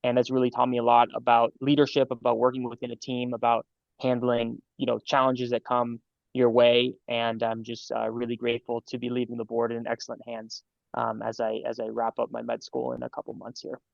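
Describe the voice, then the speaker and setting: Gravelly young man, muffled dry sound. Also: mid-range voice, monotone, dry recording. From Los Angeles, California. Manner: friendly, halting with fillers and repeats. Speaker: medical student guest. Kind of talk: casual interview answers.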